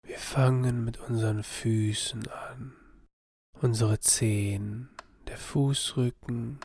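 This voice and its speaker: A man softly narrating a guided body-scan meditation for sleep, soothing and half-whispered, with a breathy voice. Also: medium pitch, steady tone, slow, no echo, clean studio sound.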